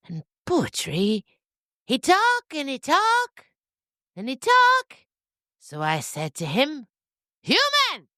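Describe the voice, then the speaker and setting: Woman putting on a high, swooping drunken nanny goat. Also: fairly bright tone, no echo, clean studio sound, full character voice. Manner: bleating, declamatory drunken speech. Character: tipsy, defiant, dramatic female nanny goat. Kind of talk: comic audio fiction.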